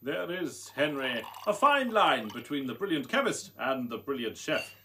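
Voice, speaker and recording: A man's voice: mid-range voice, big pitch swings, moderate pace, sharp tone, slight background noise. A man acting a haunted, formal scientist telling his tragic tale in a radio drama. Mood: genial, guarded. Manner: formal, brooding, theatrical.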